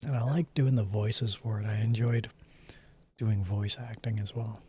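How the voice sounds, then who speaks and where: A man streamer chatting casually late at night in a calm, soft-spoken way, chuckling and sometimes dropping to a whisper. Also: lowish voice, moderate pace, a little hiss or hum. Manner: relaxed, chuckling, drifting into whispers.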